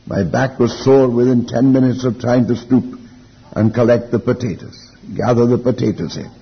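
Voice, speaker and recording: Elderly preacher, muffled in a reverberant hall. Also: male voice, medium pitch, fairly even intonation, husky. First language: Welsh. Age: older, elderly. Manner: deliberate, reflective, with rhetorical questions and repeated phrases. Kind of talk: Bible teaching sermon.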